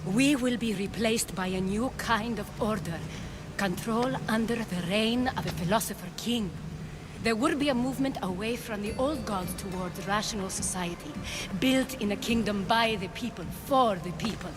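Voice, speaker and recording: Actress doing a calm calculating cult leader lady in a game, mid pitch, measured, music behind. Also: natural voice. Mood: reflective, visionary. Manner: measured, reflective, persuasive. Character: composed, calculating female former cult leader. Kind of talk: dramatic video game cutscene dialogue.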